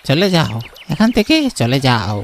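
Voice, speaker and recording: A man's voice: mid-range voice, very animated, moderate pace, slight background noise, close to an everyday voice. A man playing a mysterious village boatman who gives grim, cryptic warnings in a cartoon ghost story. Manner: ominous, cryptic.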